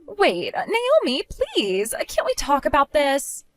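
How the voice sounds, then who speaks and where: Woman doing a sneering, giggly future sister-in-law for an audio drama; bright, bouncy, dry close sound. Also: high voice, wildly swooping pitch, unhurried, no room sound, soft background music, pitched above the performer's own voice, exaggerated voice. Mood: pleading. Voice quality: giggly, taunting. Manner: sing-song, dismissive, turns pleading.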